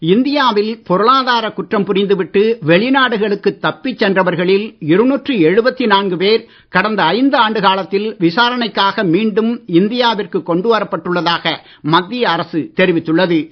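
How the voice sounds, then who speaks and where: A man reading the evening radio news in a formal, measured bulletin style. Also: sits high. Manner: steady, measured bulletin delivery. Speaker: news reader. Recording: muffled radio broadcast audio.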